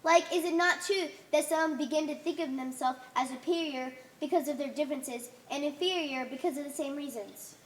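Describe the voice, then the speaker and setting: A woman's voice: high-pitched, brisk, hardly any pauses, natural voice. A woman playing a pompous, dogmatic alien holy leader in an amateur stage play, making solemn, commanding pronouncements. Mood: stern, preaching. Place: theater stage.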